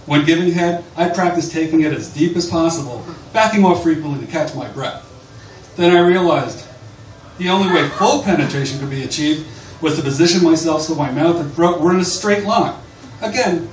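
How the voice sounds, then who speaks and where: Echoey, higher-pitched man reading aloud live. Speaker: author reading at a live book event. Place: bar reading event. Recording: distant, echoey, noisy live bar recording.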